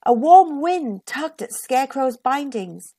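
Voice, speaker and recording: A woman reading a children's picture book aloud with expressive narration as a storytime host. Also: high-pitched, takes it slowly.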